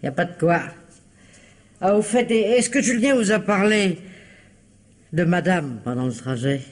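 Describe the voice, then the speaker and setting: An actor playing a blunt, helpful household servant, chatty and confiding, in old French radio drama style. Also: male voice, high-pitched, animated, measured pace. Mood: obliging, chatty.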